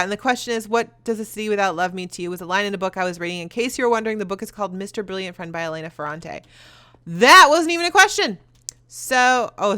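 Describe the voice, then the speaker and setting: A young woman hosting a video, talking loud and fast in casual chat as she answers viewer questions while playing a game. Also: mid-range voice. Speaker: YouTuber host.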